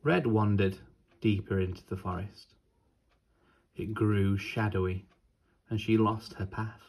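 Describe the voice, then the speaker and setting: A man narrating a children's storybook like a teacher reading aloud, deliberately and with dramatic pauses. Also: lowish voice, darkish tone, husky.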